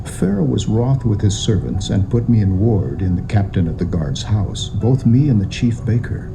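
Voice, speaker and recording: A man's voice: fairly low pitch, lively intonation, moderate pace, gravelly, rough recording. An actor playing a humble, contrite royal butler in a dramatised scripture reading, telling his account with careful deference. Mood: contrite, deferential. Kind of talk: dramatised scripture reading in King James English.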